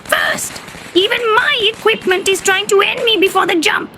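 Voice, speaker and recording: Shrill, frazzled stunt puppet. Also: female voice, normal speed, bright, forceful tone, slight background noise, exaggerated voice. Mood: indignant. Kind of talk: comic puppet vox pop.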